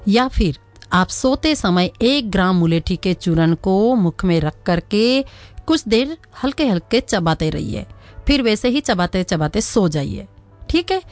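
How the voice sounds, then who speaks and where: A woman presenting a radio home-remedy segment. She speaks in a patient, instructional way, repeats each remedy slowly and often checks in with a rising "okay?". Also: medium pitch, lively intonation, a little mellow, dry recording, soft background music.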